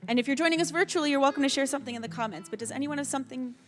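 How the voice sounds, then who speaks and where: A woman's voice: sits high, rapid-fire, faint music behind. A woman leading a live prayer service in a synagogue sanctuary, speaking in an upbeat, encouraging way into a handheld mic. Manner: warm, upbeat, encouraging congregation chatter. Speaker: service leader. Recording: long hall reverb.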